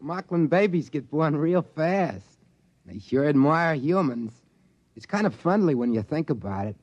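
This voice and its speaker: A man's voice: fairly high pitch, very animated, measured pace, a little mellow, no echo. An American actor playing a folksy, easygoing trading-post man who narrates his tale plainly in a 1950s radio drama. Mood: amiable. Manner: plain-spoken, folksy, colloquial. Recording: dull vintage radio recording, music underneath.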